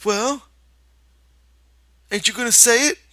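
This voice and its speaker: A man voicing a big, childlike, simple-minded man who is pleading and excitable, whiny, with a rural American accent. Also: very high voice, takes it slowly, no echo, pitched above the performer's own voice, exaggerated voice. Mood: anxious pleading.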